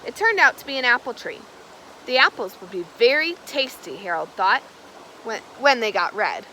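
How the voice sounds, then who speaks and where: A woman reading a children's storybook aloud in an expressive storytelling manner, stressing key words. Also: fairly high pitch, normal speed, a little hiss or hum, low-quality recording. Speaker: storybook reader. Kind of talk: children's read-aloud, scripted narration.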